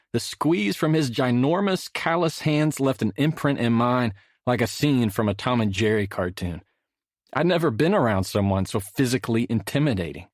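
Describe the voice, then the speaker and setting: A middle-aged man reading his own nonfiction audiobook as a measured, expressive storyteller. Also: medium pitch, dry recording, clean studio sound. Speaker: author narrating own audiobook. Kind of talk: scripted audiobook narration, storytelling.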